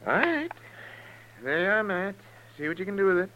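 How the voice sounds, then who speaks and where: High, animated, fussy frontier doctor. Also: male voice, a little fast, highs cut off. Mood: grumbling, playful. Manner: hesitant, folksy, muttering exclamations. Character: folksy, fussy, crusty frontier town doctor, man. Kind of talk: 1950s western radio drama acting. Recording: noisy vintage radio recording.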